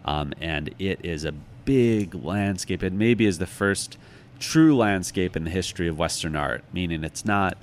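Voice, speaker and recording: A man's voice: low-pitched, normal speed, a little hiss or hum. A middle-aged man giving reflective, conversational answers as an interview guest, thoughtful and self-deprecating. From United States, Chicago.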